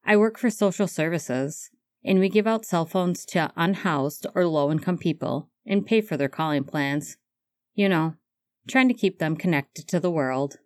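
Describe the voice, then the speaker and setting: A woman voicing Remus, a gentle, earnest man who works for social services, speaking softly. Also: lowish voice, unhurried, dry recording, lightly acted.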